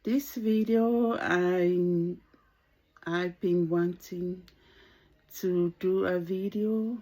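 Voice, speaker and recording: Slow-spoken Samoan woman in her sixties. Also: lowish voice, steady tone, dark tone. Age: 60s. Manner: hesitant, searching for English words. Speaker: vlogger. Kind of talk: casual talk to camera.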